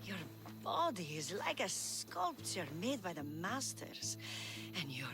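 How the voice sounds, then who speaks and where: An actress playing a flattering general's wife in an ancient Greek video game, gushing and coaxing as she talks. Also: lowish voice, slow pace, exaggerated voice. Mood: flattering, coy. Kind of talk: scripted video game acting. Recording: music behind the voice.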